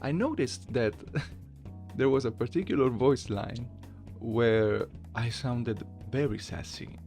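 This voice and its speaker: A man's voice: mid-range voice, lively intonation, slow pace, gravelly, a little mellow, faint music behind. A man giving casual, self-deprecating commentary to his viewers in a hesitant, giggly way. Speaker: voice challenge video creator.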